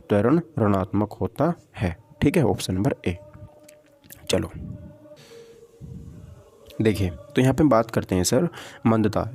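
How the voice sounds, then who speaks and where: A man's voice: medium pitch, dark tone. A man teaching an exam-prep physics lesson step by step, asking questions, answering them himself and repeating key points.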